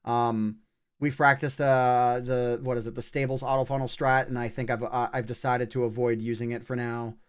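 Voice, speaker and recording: A man's voice: mid-range voice, little pitch movement, normal speed. A man livestreaming a video game with casual commentary, thinking aloud in short, broken-up remarks as he plays. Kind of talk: casual live gameplay commentary. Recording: clear background noise, clicking.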